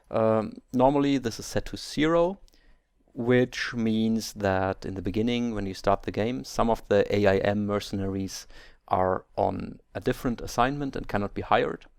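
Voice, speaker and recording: A man's voice: on the low side, creaky, darkish tone, no room sound, clean studio sound. A German man speaking English as a second language, hosting a game let's play and carefully explaining settings step by step with small hesitations. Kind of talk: video game tutorial commentary.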